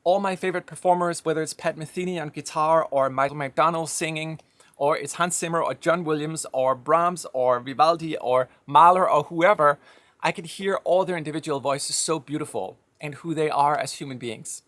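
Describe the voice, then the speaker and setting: A man's voice: fairly high pitch, moderate pace, a bit bright, dry recording. A man from Denmark with a slight Danish accent, giving a reflective, conversational monologue with firm opinions. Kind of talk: personal monologue.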